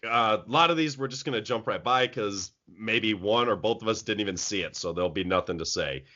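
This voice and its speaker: A man's voice: fairly low pitch, fairly quick, pushed and bright, dull audio. A man co-hosting a casual podcast chat about movies, rambling with many restarts. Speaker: podcast co-host.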